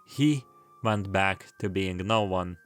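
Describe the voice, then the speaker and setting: A man's voice: sits low, takes it slowly. A man giving a casual retrospective narration as a video essayist, with wry asides and rambling commentary. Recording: music behind the voice. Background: background music.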